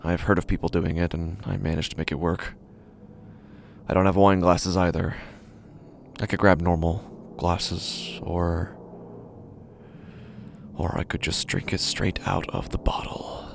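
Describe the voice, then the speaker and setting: A man acting an unraveling, despondent office worker in a first-person horror podcast monologue, halting and brooding with a gravelly voice. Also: low voice, level tone, background noise. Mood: weary, subdued. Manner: halting, brooding, breathy near-whispers, repeats words. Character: despondent, unraveling male office worker getting drunk alone. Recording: music playing under the voice. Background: prominent music bed.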